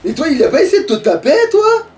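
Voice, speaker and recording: A man's voice: extremely high pitch, very animated, fast talker, darkish tone. A man chatting casually as he plays a game, grumbling and swearing freely. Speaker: let's player. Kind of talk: casual gameplay chat with a co-player. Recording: distant mic with background noise.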